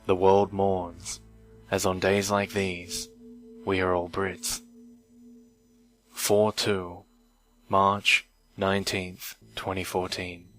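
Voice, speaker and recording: A man reading a scripted creepypasta in a measured, deliberate way, recorded on an old microphone. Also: low-pitched, level tone, fairly bright tone, low-quality recording. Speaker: narrator of horror stories. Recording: strange noise from old mic, music. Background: music.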